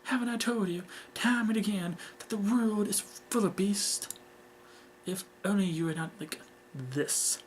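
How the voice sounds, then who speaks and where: A man's voice: sits high, unhurried, a little hiss or hum, voice pushed up, low-quality recording. A man voicing a cold, controlling mother who speaks with stern, contemptuous reproach in a story reading. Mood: scornful, scolding.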